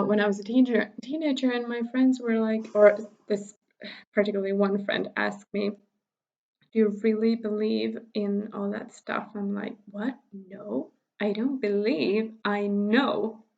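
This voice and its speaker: A woman's voice: medium pitch, vocal fry, highs cut off. A woman from Finland, a native Finnish speaker, leading a spiritual workshop in casual, chatty English with frequent laughs and filler words, recorded at home. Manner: chatty, laughs often, many fillers and restarts.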